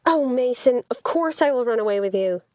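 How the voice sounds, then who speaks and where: Audiobook role: young woman read by a female narrator, lifted pitch, sleepy and questioning, close dry sound. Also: expressive, a little fast, a little mellow, no room sound, lightly acted. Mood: delighted. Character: sleepy, exasperated young woman, sweetheart of a camper dweller.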